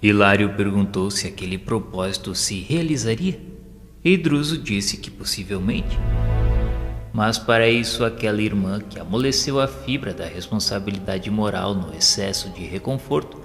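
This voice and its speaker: A man narrating an audio novel in a solemn, formal, measured, scripted dramatic style. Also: on the low side, expressive. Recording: long reverb with faint music behind. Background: music.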